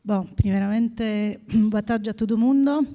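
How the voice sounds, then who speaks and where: A woman in her fifties from Italy with a strong Italian accent, speaking on a panel as a corporate people director, drifting between languages and often clearing her throat. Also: on the high side, unhurried, mellow. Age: 50s. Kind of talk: panel talk.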